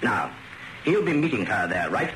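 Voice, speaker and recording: An actor playing a bossy, impatient British spy chief, a major with slangy, brusque speech, in a radio comedy drama. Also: male voice, on the low side, big pitch swings, normal speed, a bit bright, roomy sound. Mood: impatient, ordering. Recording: noisy radio broadcast recording.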